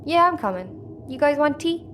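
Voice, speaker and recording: A woman playing a dry, sharp-witted young crew member in a sci-fi audio comedy. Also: sits high, expressive, moderate pace, a little hiss or hum. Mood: relaxed, friendly. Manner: dry, casual, understated.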